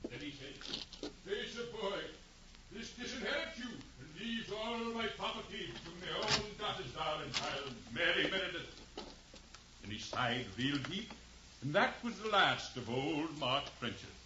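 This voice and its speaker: A theatrical country yokel spinning a solemn tale in a high, lively voice. He slips into folksy mimicry, in old radio drama style. The sound is heavily echoed and noisy. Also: unhurried, recorded from a distance, muffled recording. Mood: solemn, dramatic. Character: rustic stage-comedy country fellow retelling a deathbed tale. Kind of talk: 1950s radio acting of a stage play.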